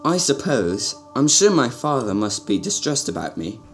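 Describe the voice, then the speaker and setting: A man's voice: medium pitch, very animated, normal speed, soft background music. A man playing a naive, spoiled young prince in an amateur radio drama, speaking in a polite, puzzled, slightly formal way. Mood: reluctant.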